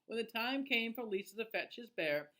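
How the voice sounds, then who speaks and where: A man's voice: extremely high pitch, animated, moderate pace, bright, forceful tone. A man narrating a children's storybook aloud like a teacher, expressively and with small reactions for young listeners.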